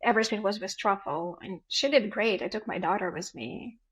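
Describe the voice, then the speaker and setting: A woman's voice: mid-range voice, a little fast, a bit bright, poor audio. A woman in her forties with a Ukrainian accent, a podcast guest telling stories in casual chat and acting out family exchanges. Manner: storytelling, acts out family dialogue.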